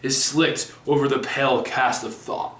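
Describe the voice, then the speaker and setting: Man reciting as a brooding prince, slow and echoey. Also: mid-range voice, flat delivery, fairly bright tone, close to an everyday voice. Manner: halting, stumbling recitation. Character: brooding young tragic prince, contemplative and despairing. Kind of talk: halting recited Shakespeare soliloquy. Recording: echoey room.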